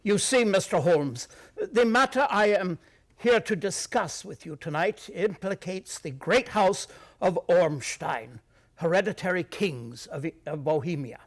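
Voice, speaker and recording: Proud disguised king, high-pitched for a man, stately, formal wording, guarded, radio play. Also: expressive, a little slow, exaggerated voice.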